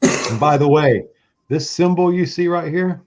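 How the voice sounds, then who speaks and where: A man's voice: fairly high pitch, darkish tone. Playful man in his forties. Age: 40s. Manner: playful, reads text slowly and deliberately. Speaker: guest. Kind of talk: casual chat, reading aloud.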